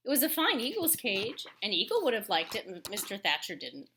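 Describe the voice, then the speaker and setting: A woman's voice: mid-range voice, wildly swooping pitch, sharp tone, slight background noise. A woman elementary school teacher giving a read-aloud lesson for children, explaining things and chatting between passages of a storybook. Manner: explanatory, pauses to comment on pictures.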